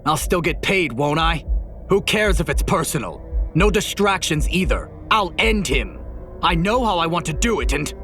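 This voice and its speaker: Man acting a hot-headed young assassin, swinging pitch. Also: animated, moderate pace, bright, forceful tone, faint music behind. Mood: defiant. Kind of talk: audio drama acting.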